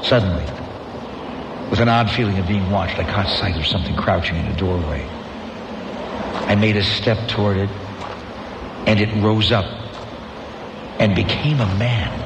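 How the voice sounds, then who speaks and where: A man's voice: fairly low pitch, roomy sound, background noise, close to an everyday voice. An actor playing a haunted survivor who narrates his journey through ruined towns and speaks hesitantly to a stranger. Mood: somber. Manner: hesitant, halting in dialogue; measured in narration. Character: weary male wanderer, last survivor, haunted and searching. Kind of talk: scripted radio drama narration.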